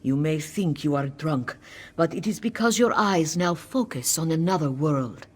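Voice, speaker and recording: A man voicing a solemn cat-folk mystic in a video game, giving measured, urgent guidance. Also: on the high side, animated. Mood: calm instruction. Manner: grave, measured, instructive. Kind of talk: scripted video game quest dialogue.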